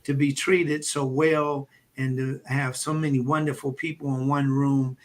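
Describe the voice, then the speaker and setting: A man, a doctor, hosting a live health stream in a loose, halting way with many fillers and light jokes. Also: mid-range voice, little pitch movement. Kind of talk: casual livestream talk.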